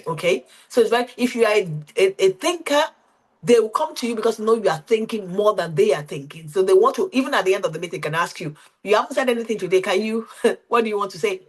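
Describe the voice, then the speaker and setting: A woman's voice: medium pitch, lively intonation, fast, husky. Woman coaching a group on a video call. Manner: coaching, asks the group frequent questions. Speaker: workshop facilitator.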